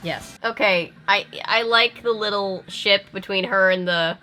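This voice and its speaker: A woman hosting a reaction video, commenting casually with expressive, wry asides. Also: medium pitch, unhurried, soft background music, rough recording. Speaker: video host reacting to a film.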